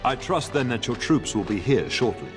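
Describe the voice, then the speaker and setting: A hearty, fatherly fantasy lord played by a male actor speaks with animated pitch and easy authority. His game dialogue rings through a hall with long reverb. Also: normal speed, over music, lightly acted. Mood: genial, commanding. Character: genial, commanding middle-aged lord and father.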